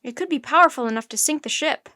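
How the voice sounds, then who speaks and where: Woman as male sea captain, storybook, commanding, alarmed, forceful shouting, high-pitched, dry. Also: fairly quick, no room sound, lightly acted.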